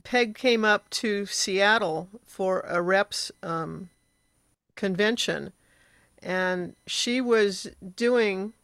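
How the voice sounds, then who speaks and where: An older woman in casual conversation, reminiscing with a halting delivery. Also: mid-range voice, fairly bright tone, dry recording, studio quality. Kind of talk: casual chat.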